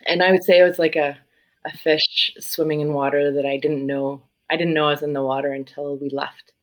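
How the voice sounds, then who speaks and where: A middle-aged woman from South Africa, a social worker and yoga facilitator, speaking reflectively as an interview guest with frequent pauses. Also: low-pitched, level tone, low-quality recording. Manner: thoughtful, searching, many pauses and ums.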